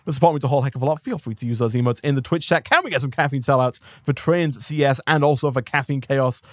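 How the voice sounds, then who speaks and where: A man commentating his own live gameplay stream in an upbeat, rambling way, thanking viewers, his voice a little hoarse. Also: mid-range voice, lively intonation, fast talker, dry recording, clean studio sound. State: slightly hoarse.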